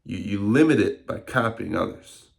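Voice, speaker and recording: A man's voice: lowish voice, moderate pace, creaky. A man in his early twenties talking casually to camera in a rambling, reflective vlog with many fillers. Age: 20s. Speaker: fitness vlogger.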